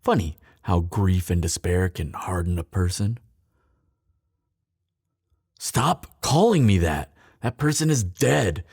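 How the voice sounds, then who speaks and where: A man acting a bitter, mocking thief hardened by grief in a fantasy audio roleplay, with sardonic, theatrical delivery and deliberate pauses. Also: sits low, animated, gravelly, darkish tone, slightly rough, no room sound, studio quality, heavily acted. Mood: rueful, then pained, bitter. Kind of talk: dramatic fantasy audio roleplay, addressed to listener.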